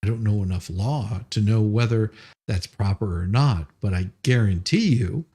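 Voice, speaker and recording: A man's voice: on the low side, normal speed, warm tone, husky. A man reading documents aloud and adding blunt, sarcastic, exasperated commentary, often profane. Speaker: livestream host doing dramatic readings of legal filings.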